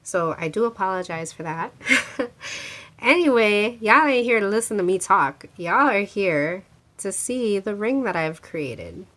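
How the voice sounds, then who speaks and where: A woman's voice: mid-range voice, animated, a little slow, fairly bright tone. A woman with a congested voice from a cold, chatting casually to her viewers about a handmade crystal ring, with lots of restarts and filler words. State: congested from a cold, sore throat. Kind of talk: casual talk to camera showing a handmade ring.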